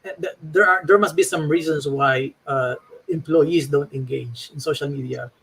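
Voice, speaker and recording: A man's voice: a little high, fairly even intonation, normal speed, a bit bright, low-quality recording. A man hosting an interview, asking his questions hesitantly with many fillers and restarts. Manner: hesitant, full of fillers and repeats. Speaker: host.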